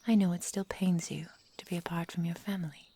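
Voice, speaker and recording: Casting: possessive immortal witch played by a woman, low-pitched, whispery and mellow, formal and tenderly doting, for ASMR roleplay. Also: steady tone, a little fast. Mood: wistful, tender. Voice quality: soft, breathy, whispery. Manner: tender endearments, formal old-fashioned storybook phrasing. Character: possessive, adoring immortal witch living in the forest. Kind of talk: intimate ASMR roleplay, slow dramatic delivery.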